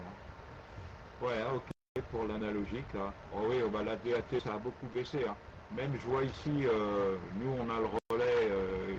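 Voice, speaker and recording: Male radio amateur, casual and chatty delivery, mid pitch, dull noisy transmission. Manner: chatty, colloquial, frequent "hein" tags. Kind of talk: casual ham radio chat. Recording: dull lo-fi radio link, loud noise.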